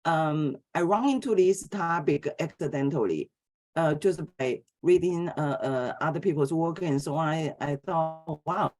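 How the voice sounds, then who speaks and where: Woman, middle-aged, professor lecturing, halting delivery, many pauses. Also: deep voice, darkish tone. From Taiwan. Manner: hesitant, many filler sounds and restarts between phrases. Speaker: philosophy professor giving a lecture. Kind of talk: academic lecture.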